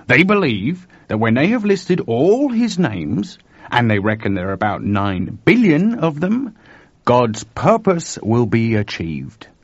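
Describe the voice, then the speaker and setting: Jittery young tech guy done by a man, husky, talks high and urgent, dry dull recording. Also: dry recording, heavily acted. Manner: excited, talkative, urgent. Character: urgent, nervous young male computer technician.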